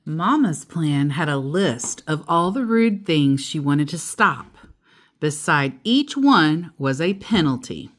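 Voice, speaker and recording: A woman storyteller reading a children's picture book aloud expressively, drawing out key words and adding playful asides. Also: low voice. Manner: animated, drawn-out emphasis with playful asides.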